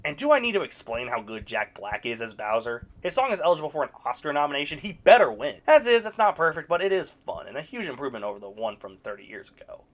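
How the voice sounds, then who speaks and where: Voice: medium pitch, big pitch swings, fast, hardly any pauses. A young adult video reviewer delivering scripted movie commentary with sarcastic, blunt verdicts.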